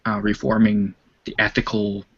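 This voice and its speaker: A man chatting on an online voice call, laying out his opinions in a measured way and at length. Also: lowish voice, completely flat delivery, gravelly, fairly bright tone, slight background noise. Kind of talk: casual online voice chat. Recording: lo-fi call audio.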